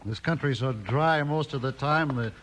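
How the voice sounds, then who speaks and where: A man's voice: medium pitch, moderate pace, a bit bright, lightly acted. An actor playing a sour, greedy, grumbling henchman of a swindler in a 1950s western radio drama, with a rustic, complaining manner. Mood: grumbling, skeptical. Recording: faintly noisy old radio recording.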